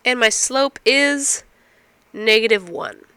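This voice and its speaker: A woman's voice: a little high, slow pace, sharp tone, smooth, dry recording. A woman instructor explaining math step by step, asking and answering her own questions, while getting over a cold. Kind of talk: recorded math lecture.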